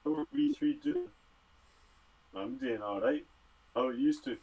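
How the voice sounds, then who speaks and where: A man's voice: high-pitched, huge pitch swings, measured pace, vocal fry, a little mellow, breathy edge, low-quality recording. A Scottish man who studies medicine, casually answering health and fitness questions on a livestream Q&A. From Scotland.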